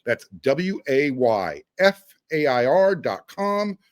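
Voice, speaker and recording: A man's voice: fairly high pitch, sing-song, takes it slowly, no echo, clean studio sound. A man hosting a sports podcast, talking casually and reading sponsor spots. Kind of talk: casual sports talk and ad reads.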